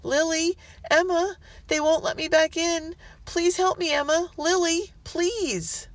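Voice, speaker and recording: Narrator doing a scared little girl, high small voice, begging, dry close sound. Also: fairly even intonation, slow, no room sound. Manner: begging, crying for help.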